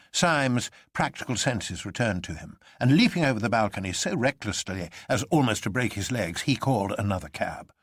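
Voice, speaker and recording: A man with a fairly deep voice narrates a classic novel in a dramatic, scripted style. The recording is close and dry, with hardly any room sound. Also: breathy edge, no echo. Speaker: narrator and reader.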